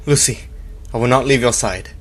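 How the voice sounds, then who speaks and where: A man playing an earnest, protective young fiancé in a radio drama, with a husky voice and urgent manner. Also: mid-range voice, a little fast, fairly bright tone, a little hiss or hum, close to an everyday voice. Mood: tender, determined.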